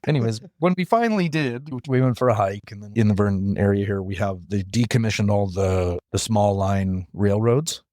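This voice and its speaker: A man from interior British Columbia guesting on a podcast, telling animated stories and acting out what people said. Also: lowish voice, moderate pace, hardly any pauses, no echo, clean studio sound. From interior British Columbia, Canada. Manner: animated storyteller, acts out quoted talk.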